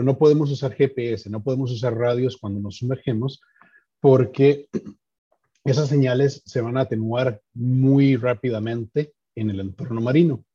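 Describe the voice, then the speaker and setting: Husky Costa Rican man explaining, muffled audio. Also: mid-range voice, warm tone. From San Carlos, Costa Rica. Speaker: podcast guest, doctoral student in engineering. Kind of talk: explanatory interview talk.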